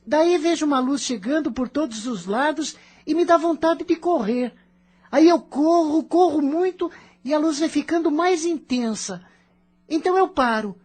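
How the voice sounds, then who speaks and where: High-pitched teen boy played by a woman, irritable, selfish, dreamy, grumbling, audio drama acting. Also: moderate pace, a little mellow, lightly acted. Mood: dreamy, explaining. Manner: curt, complaining.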